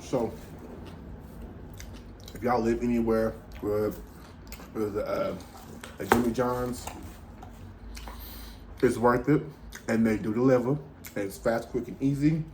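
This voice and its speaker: A man's voice: medium pitch, fairly even intonation, takes it slowly, long pauses, background noise. An American man chatting casually and slangily between bites as a food vlogger, with an informal way of talking. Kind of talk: casual chat while eating.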